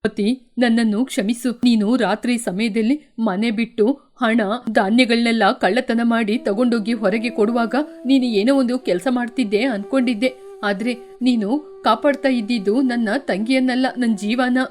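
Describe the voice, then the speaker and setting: A woman's voice: fairly high pitch, fairly even intonation, normal speed, darkish tone, voice pushed down, lightly acted. A woman voicing a proud, wealthy middle-aged man full of remorse, speaking in a heavy, regretful way. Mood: remorseful.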